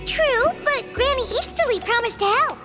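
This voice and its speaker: A woman voicing a tiny, childlike fairy companion in a video game, squeaky, perky and blunt. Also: normal speed, over loud music. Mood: chirpy. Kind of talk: English video game voice acting.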